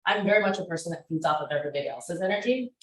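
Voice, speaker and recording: A woman's voice: fairly low pitch, rapid-fire, a little hiss or hum. Upbeat woman teaching in an echoey room. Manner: chatty and energetic, many fillers. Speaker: real estate class instructor. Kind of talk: teaching a class discussion. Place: classroom. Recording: roomy classroom echo.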